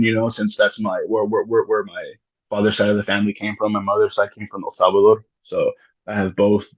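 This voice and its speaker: A man with a lowish, husky voice talks loosely and casually, circling back on himself with restarts and swearing. The sound is dull and lo-fi. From United States. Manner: rambling, frequent restarts and swearing. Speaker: musician guest. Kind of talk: casual podcast conversation.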